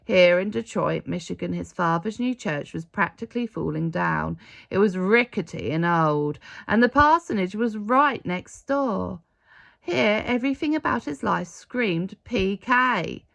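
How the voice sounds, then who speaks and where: A woman reading a children's story aloud in an expressive storytelling style. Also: medium pitch, a little slow, vocal fry. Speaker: storybook reader.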